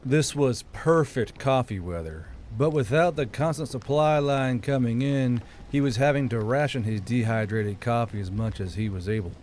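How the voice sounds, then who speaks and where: A man's voice: medium pitch, moderate pace, few pauses, darkish tone, a little hiss or hum. A man reading scripted fiction as an audiobook narrator. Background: background music.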